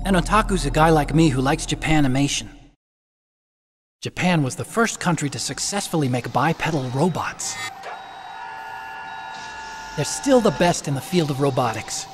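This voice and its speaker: An actor playing an earnest, sensitive, nerdy young robotics engineer and guilt-ridden idealist in a video game, with a boyish manner. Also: male voice, a little high, lively intonation, normal speed, pauses often, slight background noise, natural voice. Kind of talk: video game cutscene acting.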